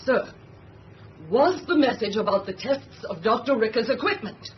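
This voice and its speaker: Radio drama civilian official actress: insistent, stiff, easily outraged, dull lo-fi sound. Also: female voice, on the high side, lively intonation, normal speed, close to an everyday voice. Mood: indignant. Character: prim, insistent, indignant female Pentagon civilian official. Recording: dull lo-fi radio recording with hiss.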